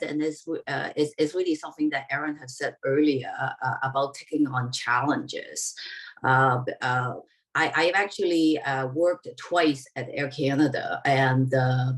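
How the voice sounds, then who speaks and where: A woman panelist on a video call telling a career story, halting at first with many fillers and repeats. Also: rumbling low, distant mic. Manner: halting start, lots of uh and repeats, lively storytelling.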